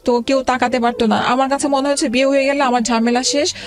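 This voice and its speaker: A woman in her forties telling her life story as an interview guest, halting and hesitant, with many fillers and restarts. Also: sits high, steady tone.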